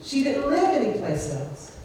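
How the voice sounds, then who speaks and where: A woman emceeing a memorial program, speaking thoughtfully and pausing with many "um"s. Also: high voice, sing-song, mellow. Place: indoor gathering hall. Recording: echoey hall from far off, faint noise.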